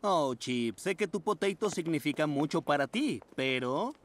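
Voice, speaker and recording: A woman's voice: low-pitched, a little slow, slight background noise. A woman voicing a fussy but kindly mole schoolteacher in a children's cartoon, coaxing small pupils along. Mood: gentle, apologetic. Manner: animated, coaxing teacher talk.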